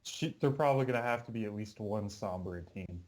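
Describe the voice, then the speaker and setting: Young male podcast host, lowish voice. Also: a little fast, darkish tone. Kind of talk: casual gaming podcast chat.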